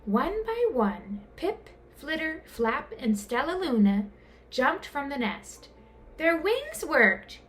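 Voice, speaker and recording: A woman reading a children's storybook aloud in an expressive, dramatic way, drawing out words. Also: high-pitched, slow. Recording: clear background noise.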